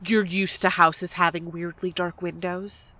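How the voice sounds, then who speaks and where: A woman playing an anxious, suspicious, sharp-tongued young woman in an audio drama, blunt and pressing. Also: on the low side, level tone, measured pace. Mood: skeptical.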